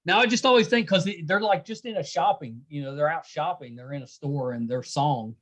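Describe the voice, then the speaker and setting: A man's voice: on the high side, brisk, a bit bright. A man hosting a casual interview, chatting as he asks questions. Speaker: podcast host interviewing a band.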